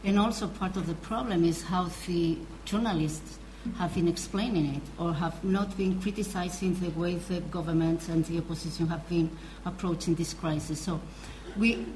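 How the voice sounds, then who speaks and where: Earnest low-voiced woman from Spain. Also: steady tone, moderate pace, hardly any pauses, a little mellow, slight background noise, low-quality recording. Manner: earnest, searching for words. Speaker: journalist panelist. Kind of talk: conference panel discussion.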